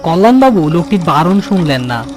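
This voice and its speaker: A man's voice: a little high, very animated, brisk, music in the background. A man narrating a scripted horror story as its storyteller.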